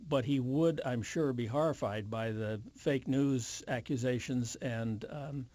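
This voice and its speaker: A man's voice: mid-range voice, unhurried, darkish tone, no room sound. An American man in his seventies answering as an interview guest, reflective and hesitant as he chooses his words. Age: 70s.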